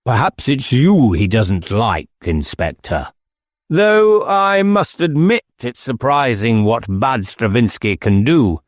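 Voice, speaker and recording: A man's voice: mid-range voice, very animated, takes it slowly, no room sound, studio quality, pitched above the performer's own voice. A man voicing an evasive, whimsical English country squire in an audiobook, with airy, affected manner and clipped phrases. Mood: airy, teasing. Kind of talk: audiobook character dialogue.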